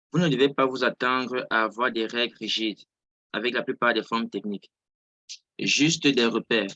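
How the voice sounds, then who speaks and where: Bright-voiced man teaching live online. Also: medium pitch, no room sound, highs cut off. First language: not French. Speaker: trading instructor. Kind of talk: informal live online lesson, explaining. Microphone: close mic on an online video call.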